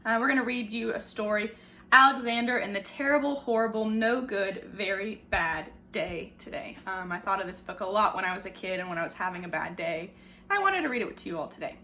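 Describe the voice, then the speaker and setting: Bright expressive woman teacher reading aloud. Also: medium pitch, slight background noise. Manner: expressive storybook reading with dramatic pauses. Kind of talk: reading a picture book aloud to children. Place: home living room.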